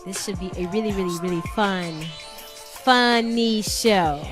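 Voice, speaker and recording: A woman hosting a casual talk show in a lively, welcoming way, a little under the weather. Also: mid-range voice, unhurried. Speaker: host. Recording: music playing behind.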